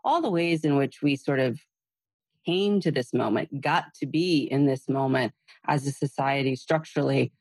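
A woman hosting a podcast interview from a home in Massachusetts over a video call, speaking gently and meanderingly with soft fillers. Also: extremely low pitch, moderate pace, husky, no echo. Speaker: podcast host.

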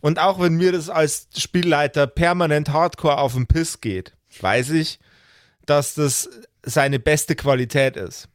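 A man's voice: on the high side, measured pace, no room sound, studio quality. A man chatting casually as a podcast host and game master, bluntly and with emphatic repetition and swearing. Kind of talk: casual chat with friends.

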